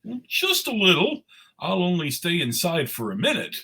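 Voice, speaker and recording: A man voicing a cheerful, naive snowman, gentle and jokey, in a playful cartoon table read. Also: high-pitched, wildly swooping pitch, normal speed, creaky, husky, exaggerated voice. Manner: playful, earnest.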